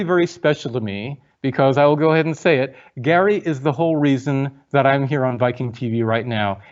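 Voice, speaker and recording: A man's voice: medium pitch, lo-fi sound. A man giving an online lecture and answering viewer questions, chatty, reading them aloud with frequent ums.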